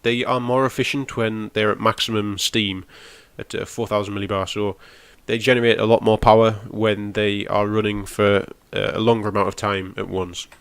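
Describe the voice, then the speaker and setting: Brief: young gaming host, stuffy nasal cold, lowish voice, dry close recording, rambling walkthrough. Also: male voice, level tone, normal speed, creaky, hardly any pauses, a bit bright, clear, resonant tone, no room sound. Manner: rambling, explains as he goes, frequent ums. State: has a cold, nasal and snotty. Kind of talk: casual gameplay walkthrough.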